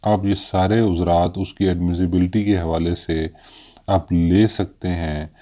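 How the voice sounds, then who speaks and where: Deep-voiced Pakistani man, slow and halting. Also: fairly even intonation, dark tone. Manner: halting, with frequent hesitations. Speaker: law lecturer. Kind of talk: explanatory legal lecture, mixing in English terms.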